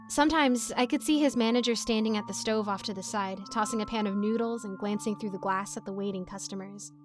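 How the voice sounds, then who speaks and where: A woman reading a scripted story as its narrator, with an expressive storytelling delivery. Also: medium pitch.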